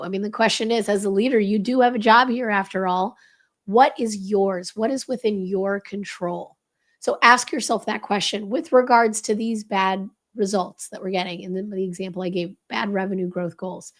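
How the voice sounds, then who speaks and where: A woman's voice: medium pitch, normal speed. A woman with a slightly crackly, strained voice explains leadership ideas as an expert guest, speaking emphatically and instructively with rhetorical questions. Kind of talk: explanatory interview talk.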